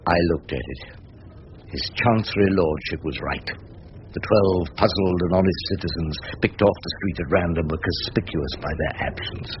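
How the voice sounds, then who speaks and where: An actor playing a wry, theatrical older English barrister who argues floridly and narrates sardonically in a radio drama. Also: male voice, sits low, normal speed, lightly acted. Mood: dry wry narration. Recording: dull, very noisy radio drama recording.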